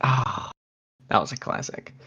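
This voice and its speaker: A man's voice: measured pace, sharp tone, lo-fi sound. A young man co-hosting a podcast, chatting casually and agreeably in loose, informal phrasing. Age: young adult. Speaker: podcast co-host. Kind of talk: casual chat.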